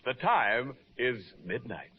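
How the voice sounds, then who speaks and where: A man's voice: medium pitch, big pitch swings, takes it slowly, very noisy. A man announcing in the style of 1940s radio. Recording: muffled old radio broadcast. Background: loud music.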